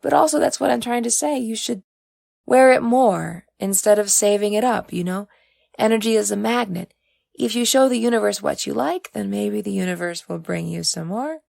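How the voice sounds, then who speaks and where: Woman playing an exuberant, theatrical young man, pitched high. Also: moderate pace, creaky, darkish tone, no room sound, clean studio sound. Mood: teasing, encouraging. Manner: flamboyant, playfully dramatic. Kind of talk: audiobook character dialogue.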